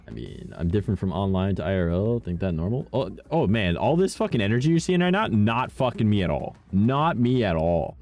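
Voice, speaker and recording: Deep gravelly guy streaming, voice kinda rough, swears a lot, pitch all over the place, faint music behind. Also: very animated, moderate pace. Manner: swearing, rambling, jumps between deep mumbles and loud bursts. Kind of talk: casual chat while streaming a game.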